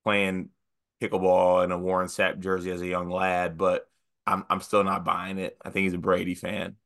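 A man's voice: low-pitched, monotone, moderate pace, gravelly, fairly bright tone. A man co-hosting a casual sports podcast, stuffed up with the sniffles, sounding nasal. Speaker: podcast co-host.

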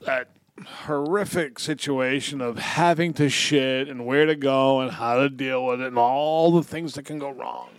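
A man's voice: medium pitch, measured pace, clean tone, faint music behind. A man co-hosting a podcast, chatting casually and crudely with comedic gusto and free swearing. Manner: animated, crude, swearing freely. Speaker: podcast co-host. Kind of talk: bawdy comedic casual chat.

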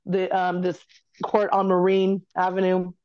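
A woman guest in a casual interview, talking candidly in a chatty, quick way. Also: fairly low pitch, completely flat delivery, muffled recording. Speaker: podcast guest, former college basketball player.

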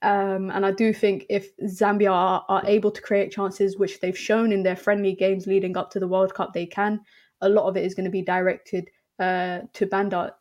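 A woman's voice: mid-range voice, flat delivery, moderate pace, darkish tone. A young adult woman talking football as a podcast guest, chatty with frequent ums and restarts. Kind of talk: casual football chat.

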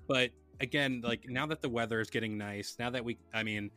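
A man's voice: mid-range voice, fairly quick, sharp tone, soft background music. A man hosting a casual podcast, chatting in a laid-back, rambling way and reading sponsor plugs. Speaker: podcast host.

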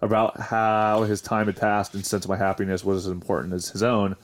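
A man's voice: on the low side, flat delivery, brisk. A man hosting a casual podcast, chatting and reading confessions aloud in an informal way. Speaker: podcast host.

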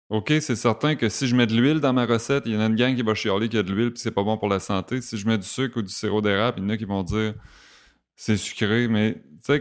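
A man with a Québécois accent, a podcast guest who talks casually and acts out the comments people send him. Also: fairly low pitch. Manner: animated, acts out quoted comments. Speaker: podcast guest, vegan chef. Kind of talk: casual conversation.

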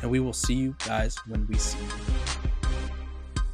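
A man's voice: fairly low pitch, steady tone, slow, gravelly, music in the background. A man co-hosting a casual sports podcast, ranting animatedly about a coach and laughing often. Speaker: podcast co-host.

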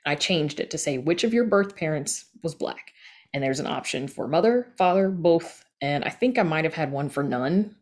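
Woman, low pitch, candid, emphatic, informal podcast guest. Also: animated, normal speed, a little mellow. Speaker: interview guest, podcast creator and editor. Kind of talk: casual interview answer.

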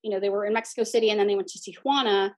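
A woman's voice: medium pitch, fairly even intonation, rapid-fire, a little mellow, no room sound, studio quality. A woman with an American accent reflecting casually on her own life as an interviewee, often saying "like" and "you know". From San Diego border region, United States. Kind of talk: reflective casual personal storytelling.

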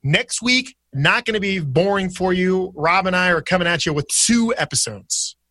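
A man's voice: high voice, expressive, moderate pace, sharp tone, dry recording. A man co-hosting a casual sports podcast, chatty and jokey as he steers the segments. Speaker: podcast co-host.

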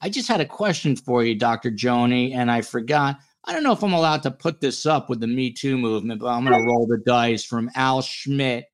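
A man's voice: medium pitch, lively intonation, a little fast, hardly any pauses. A man hosting a casual true-crime panel podcast, talking in a blunt, teasing, jokey way. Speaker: podcast host.

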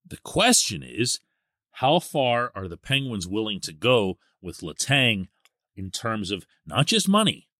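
Animated sports podcast host man. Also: medium pitch, no room sound, studio quality. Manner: animated and emphatic, stresses key words. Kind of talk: solo podcast commentary.

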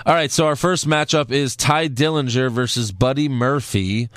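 A man's voice: mid-range voice, moderate pace, fairly bright tone, no echo. A man co-hosting a podcast, recapping a wrestling show in casual, sarcastic chat. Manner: laid-back, sarcastic, lots of fillers.

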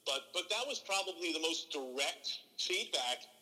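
Middle-aged male play-by-play man telling stories earnestly, voice a bit high. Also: normal speed, long reverb tail, poor audio. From from New Jersey. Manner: earnest, animated, frequent restarts. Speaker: baseball play-by-play broadcaster, podcast guest. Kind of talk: relaxed interview storytelling.

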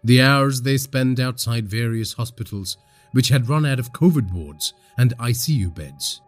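A man from India narrating a scripted horror story in a husky voice, with dramatic, suspenseful delivery. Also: medium pitch, lively intonation, a little slow, faint music behind.

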